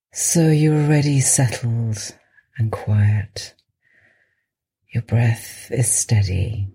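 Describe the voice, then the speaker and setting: A woman with a soft, breathy voice guides a meditation in a soothing way, pausing at length between phrases. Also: extremely low pitch. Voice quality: soft, breathy, sometimes near a whisper. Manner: slow, soothing, with long pauses. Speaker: meditation podcast host and guide.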